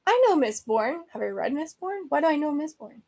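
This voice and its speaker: A woman from Venezuela who hosts a book podcast talks casually with viewers on a live stream. Her voice sits a little high. She rambles, fills gaps with "um," and corrects herself mid-sentence. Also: very animated, fairly quick, dark tone. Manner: chatty and rambling, many fillers and self-corrections.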